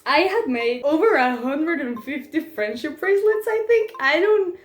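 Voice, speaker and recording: A fairly high-voiced young woman chats and giggles through a casual vlog, tripping over restarts as she goes. Her voice bounces around an echoey room, with soft music playing underneath. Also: lively intonation, normal speed. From Mexico. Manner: chatty and giggly, with many restarts. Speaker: vlogger. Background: faint background music.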